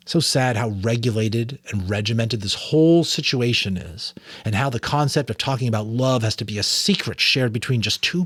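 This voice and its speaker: A man's voice: fairly low pitch, a bit bright, breathy edge, no echo. A middle-aged man doing a dramatic audiobook reading with live commentary. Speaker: audiobook reader.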